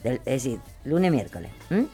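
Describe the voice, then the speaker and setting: A woman's voice: very deep voice, big pitch swings, moderate pace, mellow, soft background music. A woman with an Argentine accent hosting a casual radio chat, chatty and colloquial, repeating phrases for emphasis. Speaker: radio host.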